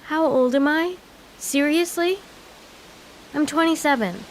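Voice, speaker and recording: Exasperated young American daughter, slightly high voice. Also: female voice, unhurried. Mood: sarcastic. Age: 20s. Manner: exasperated, dry, sarcastic. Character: exasperated, sarcastic twenty-seven-year-old daughter. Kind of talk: comedic audio drama acting. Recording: faint background hiss.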